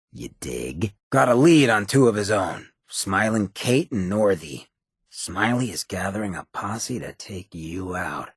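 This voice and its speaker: An actor voicing a sly, swaggering outlaw mayor in a video game, with a gravelly rasp and cocky, streetwise, slangy drawl. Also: male voice, low-pitched, no echo, clean studio sound, full character voice. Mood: sly, conspiratorial. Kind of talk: video game companion dialogue.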